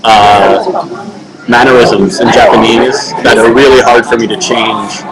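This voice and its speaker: A man's voice: mid-range voice, steady tone, a little slow, a loud crowd, poor audio. A young man hosting a casual livestream, answering viewer questions in a loose, rambling way with many filler words and restarts. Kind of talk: casual chat. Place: home webcam livestream.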